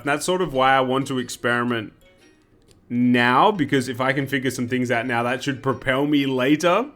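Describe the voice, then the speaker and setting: A man's voice: medium pitch, a bit bright. Upbeat man hosting a gaming interview. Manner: upbeat, laughs often. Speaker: host. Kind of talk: gaming video narration and interview.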